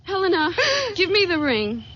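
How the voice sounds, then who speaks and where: A soft-hearted, stubborn young woman in an old radio drama. Her voice is high and swings expressively as she speaks in earnest. The recording has clear background noise. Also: animated, moderate pace. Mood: distressed. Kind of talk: vintage radio drama acting. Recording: muffled, noisy vintage radio recording.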